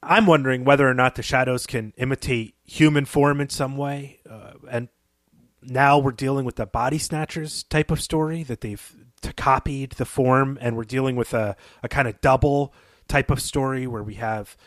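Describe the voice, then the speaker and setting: Man, average pitch, podcast co-host, analytical, thoughtful, measured halting phrases, literary talk, dry studio-close sound. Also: steady tone, no echo.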